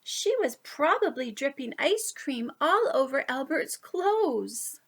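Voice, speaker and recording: A woman reading a picture book aloud to young children, expressive, with drawn-out emphasis on key words. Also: sits high. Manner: animated, drawn-out emphasis, asides to listeners. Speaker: children's story reader.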